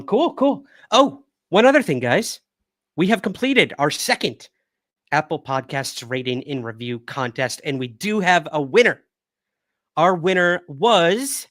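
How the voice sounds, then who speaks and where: Calm-voiced man hosting a livestream. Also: medium pitch, no echo, clean studio sound. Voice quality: calming voice. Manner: upbeat, thanks viewers and reads chat aloud. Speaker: livestream podcast host. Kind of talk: casual livestream chat with viewers. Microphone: new studio microphone.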